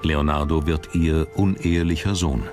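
A man reading scripted documentary narration with measured, dramatic phrasing. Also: very deep voice, steady tone, over music.

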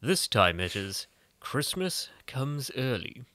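A man's voice: mid-range voice, very animated, slow, pushed and bright. A man co-hosting a comic dub with casual commentary.

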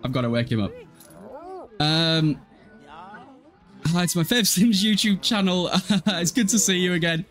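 A man's voice: high-pitched, unhurried, long pauses, fairly bright tone. A young man giving casual, irreverent, sweary commentary while livestreaming a game and chatting with viewers. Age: young adult. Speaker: livestreamer playing a life-simulation game. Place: small room at home, indoors.

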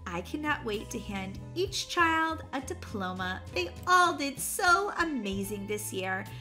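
A woman's voice: fairly high pitch, sing-song, measured pace, sharp tone, faint music behind, full character voice. A woman playing a sweet preschool teacher.

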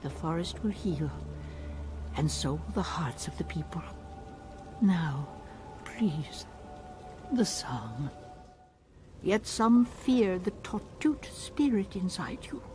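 A woman playing a serene, solemn alien spiritual leader in a video game, measured and reverent. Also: lowish voice, expressive, a little mellow. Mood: reverent, gentle. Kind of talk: dramatic video game acting. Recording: music behind.

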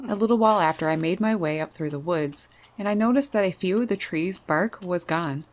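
A woman's voice: on the low side, fairly quick. A woman doing scripted nature narration as a birdwatching vlogger.

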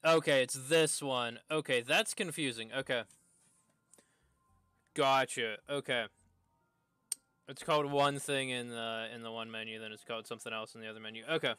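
A man livestreaming a video game, casually thinking aloud in a halting way as he plays. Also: medium pitch, fairly even intonation, pushed and bright, clear, resonant tone, no echo, soft background music. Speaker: game streamer.

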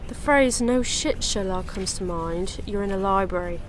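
A woman's voice: mid-range voice, normal speed, a little hiss or hum, lightly acted. A woman acting a sarcastic, hurt librarian in an audio drama. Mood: dry, terse. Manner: sarcastic, blunt. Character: sharp, hurt older woman librarian, former psychiatrist.

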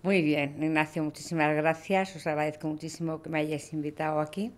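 Woman, 60s, deep low voice, conversational storytelling, self-correcting, studio mic. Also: a little fast. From Toledo, Spain. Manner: gestures with her hands, lively anecdotal telling with self-corrections. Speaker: guest, hunting club president. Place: podcast studio, indoors. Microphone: studio mic on a stand, with headphones.

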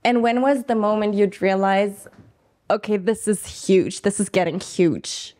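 A young woman with a mid-range voice asks brisk, friendly questions into a handheld mic. She sits in a festival backstage lounge. Between answers she chimes in with short acknowledgements. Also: medium pitch, darkish tone. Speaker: radio interviewer. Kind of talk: interview questions.

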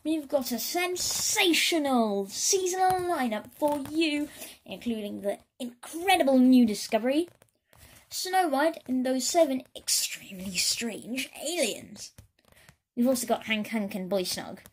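A woman playing an excitable, showy pop show announcer in a children's story, stretching out big superlatives. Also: high voice, breathy edge, pitched above the performer's own voice, heavily acted, rough recording. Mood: hyped up. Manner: hyped, drawn-out superlatives.

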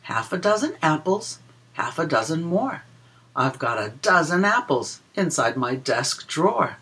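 A woman reading a picture book aloud to young children in a sing-song, deliberate way, explaining and counting as she goes. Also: sits low, big pitch swings, a bit bright, breathy edge. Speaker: children's story reader and teacher.

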